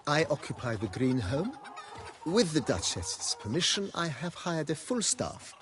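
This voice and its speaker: An actor playing a formal, refined majordomo of a wine estate in a video game, deferential and given to telling tales with flourish. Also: male voice, fairly high pitch, sing-song, unhurried, background noise. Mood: polite, proud. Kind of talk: video game character acting.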